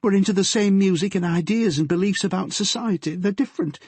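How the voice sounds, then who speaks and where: Audiobook figure: male narrator voicing a scared teenage girl, pitched high, breathless, husky. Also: fast, a little mellow, no echo. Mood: anxious. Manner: shaky, pleading, breathless. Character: frightened tearful teenage girl, a policeman's daughter.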